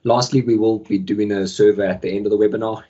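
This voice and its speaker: A man hosting a software webinar over a video call, his voice croaky from the flu, talking in a relaxed way with many ums. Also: on the low side, fast talker, muffled recording. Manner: relaxed, many ums, friendly filler words. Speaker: webinar host and product manager. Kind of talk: casual software webinar presentation. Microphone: video call microphone.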